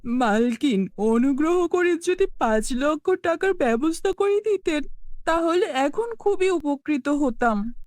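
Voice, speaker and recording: A poor village mother from a cartoon played by a woman, gentle and earnest. Her high voice swings widely as she pleads, recorded dry over faint music. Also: animated, slow, no room sound. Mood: humble pleading. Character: poor, self-sacrificing ageing village mother, gentle and worried. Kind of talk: cartoon fairy-tale voice acting.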